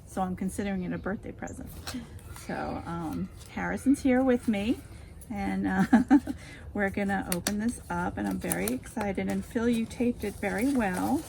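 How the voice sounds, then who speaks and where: An excited woman from North Carolina greeting people in a home video from her backyard. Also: medium pitch, normal speed, creaky, a little hiss or hum. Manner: excited, cheerful. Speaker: viewer sending a video. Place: outdoors in a backyard.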